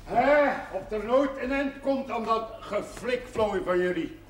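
A man's voice: very high-pitched, a little slow, slight background noise. An actor playing an impatient, grumbling man who curses and complains at home in a television period drama. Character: grumbling, exasperated man bickering at home over Christmas. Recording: echoey far-off TV soundtrack, music behind.

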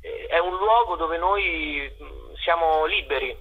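A man's voice: a little high, a little slow, vocal fry, slightly rough, phone-quality sound. A man in a casual radio interview as a guest, playful and hesitant, circling back over his own phrases. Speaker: actor and playwright, interview guest.

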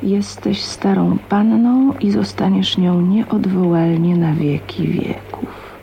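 An actress in a Polish radio drama playing a timid, bitter spinster of twenty-nine, musing to herself in a hushed, resigned manner. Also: female voice, mid-range voice, fairly even intonation, takes it slowly, vocal fry, a little hiss or hum. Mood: resigned, sad. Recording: dull lo-fi sound, music behind.

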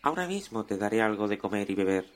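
A man's voice: lowish voice, sing-song, quick pace. A man voicing a kindly, good-natured old male mouse in a children's story. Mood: welcoming.

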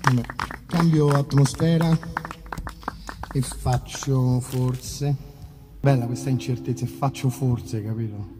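A man's voice: mid-range voice, fairly even intonation, slow, pauses often, big-room sound. A man, a musician talking informally and ramblingly to his listeners between songs at a live outdoor concert. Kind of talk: casual stage chat between songs.

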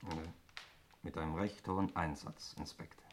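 An actor playing a suave gambler, nephew of a rich aunt, in a 1960s German radio crime play. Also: male voice, sits low, fairly even intonation, moderate pace, close to an everyday voice. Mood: wry. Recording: noisy old 1960s radio recording.

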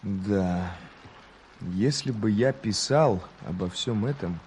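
An actor playing an earnest, inquisitive, polite reporter in a radio play, tentative in his questions, with a husky voice. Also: fairly low pitch, slow pace, a little mellow, a little hiss or hum. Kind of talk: Soviet radio play acting.

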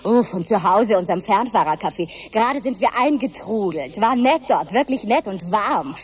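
A woman's voice: mid-range voice, lively intonation, normal speed, slightly rough. An actress playing a genteel, snobbish middle-aged mother who panics easily, in a theatrical, haughty radio drama manner. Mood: mimicking, chatty. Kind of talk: German radio drama acting.

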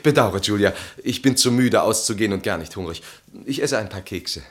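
An actor in a German radio drama playing a harried, evasive husband, hesitant and flustered. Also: male voice, on the low side, expressive, brisk, natural voice. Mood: weary, dry. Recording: roomy studio radio play sound.

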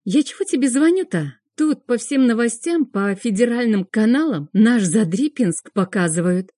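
A woman voicing a chatty, bossy female best friend who talks in rapid, exclamatory, scolding bursts in an audiobook. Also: fairly high pitch, animated, warm tone, dry recording, clean studio sound, voice pushed up. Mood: urgent, serious.

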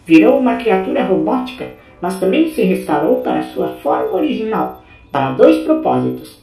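A woman's voice: medium pitch, big pitch swings, moderate pace, gravelly. A woman playing a formal informant who gives expository briefings in an audio drama. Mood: grave, informative. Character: formal female informant briefing a Time Lord. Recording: roomy echo under loud music.

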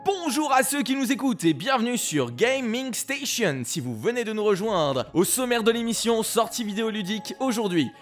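An actor playing an excitable, gushing male presenter of a video game radio show in an audio drama. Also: high voice, moderate pace, few pauses, soft background music. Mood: excited, welcoming.

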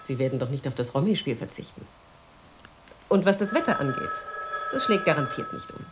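An actress playing a sceptical, impatient wife in a radio drama, mocking and dismissive. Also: female voice, low-pitched, big pitch swings, a little fast, background noise. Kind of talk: radio play acting.

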